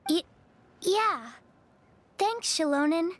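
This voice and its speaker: A woman's voice: very high-pitched, expressive, takes it slowly, exaggerated voice. A woman playing a frail, timid little girl in a fantasy game, hesitant and pleading.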